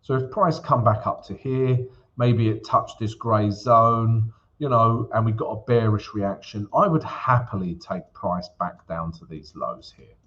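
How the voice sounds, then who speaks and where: A man's voice: lowish voice, unhurried, hardly any pauses, fairly bright tone. A man hosting a live trading stream, chatty and casual, checking in with the audience as he walks through charts. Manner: chatty, peppers lines with "yeah?" and "guys". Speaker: forex livestream host. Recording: slightly dull livestream audio.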